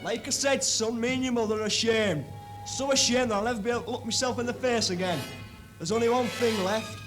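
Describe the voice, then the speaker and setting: Man doing a high voiced dad, blustering and ashamed, hammy old radio comedy. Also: fairly quick, fairly bright tone, soft background music, low-quality recording. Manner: blustering, overdramatic. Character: outraged, melodramatically ashamed father. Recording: 1970s hospital radio recording.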